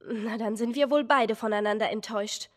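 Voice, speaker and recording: A woman voicing a feisty, inquisitive teenage girl survivor in a video game, blunt and sarcastic, with a husky voice. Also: fairly high pitch, a little fast, a little hiss or hum, lightly acted. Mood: defiant. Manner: sarcastic, questioning. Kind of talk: dramatic video game acting.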